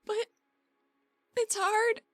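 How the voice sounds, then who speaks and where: A woman voicing a flustered, defensive woman adventurer in a tabletop game, with halting excuses and blunt words. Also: very high-pitched, little pitch movement, no room sound, voice pushed up, heavily acted.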